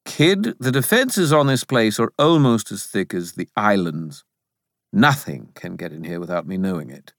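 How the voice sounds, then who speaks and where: A man's voice: fairly low pitch, animated, moderate pace, no room sound, clean studio sound. A man voicing a smug, put-upon castle spirit who talks in a casual, dismissive, superior way for a storybook.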